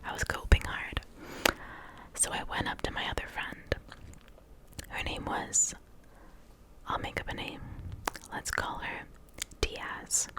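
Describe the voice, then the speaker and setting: A woman's voice: slow, pauses often, rough recording. A young woman whose first language was Portuguese, telling a rambling, self-mocking story in a close-miked ASMR whisper. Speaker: ASMR creator.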